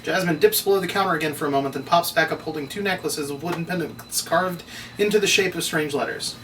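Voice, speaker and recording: A man's voice: on the high side, fast, a bit bright. A man narrating scene directions from a script at a table read. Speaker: narrator reading stage directions. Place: room, read aloud at a table. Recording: distant room mic, faint noise.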